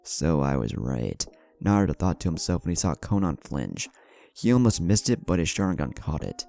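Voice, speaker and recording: A man's voice: sits low, very animated, brisk, creaky, dark tone, faint music behind. A man narrating a scripted fan fiction story in a dramatic storytelling manner.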